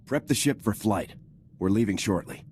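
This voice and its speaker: A man plays a loyal lieutenant in a video game, terse and determined with each line. His voice sits fairly low, and a faint hiss runs underneath. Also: normal speed, darkish tone, close to an everyday voice. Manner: clipped and determined.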